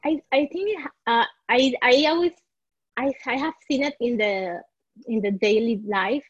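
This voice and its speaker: A woman speaks in a slightly high, husky voice, often restarting her sentences as she searches for the right words. She sounds like a coach being interviewed on a podcast. The recording is dry and a little dull. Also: measured pace, no room sound. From Puerto Rico. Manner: searching for words, frequent restarts, soft laughs. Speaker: life coach, podcast guest. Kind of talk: conversational interview.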